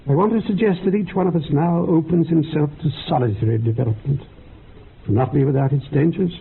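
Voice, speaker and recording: An actor playing the solemn male leader of an occult research circle in a radio drama, speaking formally and portentously in a creaky, husky voice. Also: on the high side, heavily acted. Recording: dull, muffled radio drama recording.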